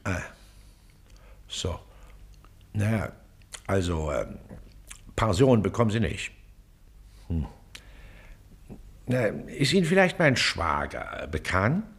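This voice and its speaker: A pompous baron and local magistrate in a radio drama. His pitch swings widely as he talks down to people, breaking off into self-important little hesitations and officious interjections. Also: sing-song, gravelly, full character voice. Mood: condescending. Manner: haughty, hesitant pauses, condescending interjections. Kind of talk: German radio play acting.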